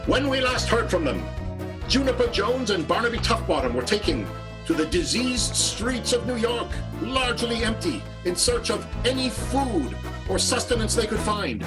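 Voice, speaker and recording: Female radio soap narrator: theatrical, high, swooping delivery over clear music. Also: sing-song, moderate pace, low-quality recording. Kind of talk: scripted-sounding radio serial narration.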